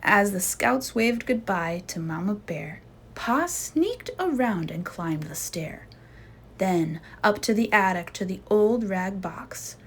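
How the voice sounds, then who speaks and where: Slow, slightly husky woman storyteller. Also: medium pitch. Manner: expressive, suspenseful storytelling. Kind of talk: children's storybook read-aloud.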